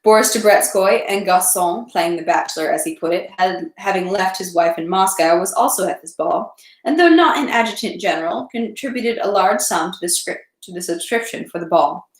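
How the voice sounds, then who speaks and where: Female amateur reader for literary narration, medium pitch, slightly unpolished delivery, recorded in a reverberant home room. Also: moderate pace. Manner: unpolished amateur read-aloud, stumbles and rereads. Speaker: reader of a read-aloud series. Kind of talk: reading a classic novel aloud. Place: indoors at home, echoey room.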